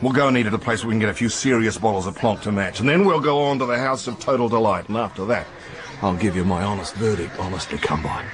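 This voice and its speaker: A man's voice: lowish voice, quick pace, close to an everyday voice. An actor in a radio drama playing a crude, blustering, middle-aged Australian intelligence man who likes his drink and talks in slang. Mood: brash, jovial. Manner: brash, slangy, opinionated.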